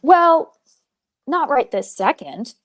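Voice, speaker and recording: A woman's voice: sits high, very animated, takes it slowly, full character voice. A woman acting a frank, casual young female camp counselor in a horror script table read. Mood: flustered.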